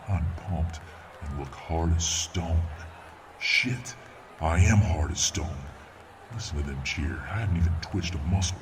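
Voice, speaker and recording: A man playing a dominant, cocky fireman boyfriend in an ASMR roleplay, a tough, devoted muscleman with a gravelly, swaggering, grunting delivery. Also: very deep voice, a little mellow, far from the mic, slight background noise. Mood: cocky, pumped. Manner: breathy grunting, swaggering, drawn-out exertion. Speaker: audio roleplay performer.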